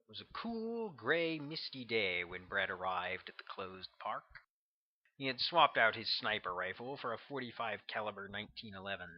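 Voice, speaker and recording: A man's voice: on the low side, big pitch swings, normal speed. A man narrating his own novel as a scripted audiobook. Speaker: author reading own audiobook. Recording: dull, lo-fi recording.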